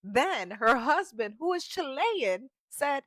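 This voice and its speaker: A woman's voice: sits high, very animated, slow pace, a bit bright, dry recording, clean studio sound. A woman ranting in a travel vlog, emphatic and exasperated, swearing freely. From United States. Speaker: vlogger.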